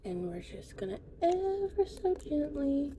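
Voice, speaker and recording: A very dark, soft, whispery voice of a whimsical witch played by a woman in an ASMR roleplay. She teaches slowly and soothingly in a breathy hush, close to the mic. A faint noise sits behind her. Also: sits high, big pitch swings. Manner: slow, soothing, unhurried. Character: gentle, whimsical female witch teaching potion making. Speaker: ASMR performer.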